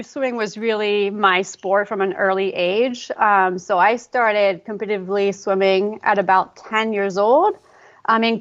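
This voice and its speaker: A woman's voice: mid-range voice, muffled recording. Quebec-accented woman, friendly and chatty. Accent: Quebec French. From Quebec City, Canada. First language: French. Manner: friendly, with frequent ums. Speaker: guest, fitness coach. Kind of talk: casual interview.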